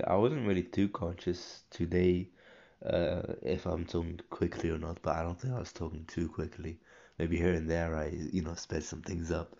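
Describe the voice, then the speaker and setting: A young man rambling casually about his day, self-correcting often, his voice croaky from a cold. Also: low voice, darkish tone. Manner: rambling, self-correcting, frequent ums and restarts. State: croaky voice from a cold, coughing and clearing throat. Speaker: vlogger. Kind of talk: casual personal audio diary. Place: at home. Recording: dull lo-fi recording.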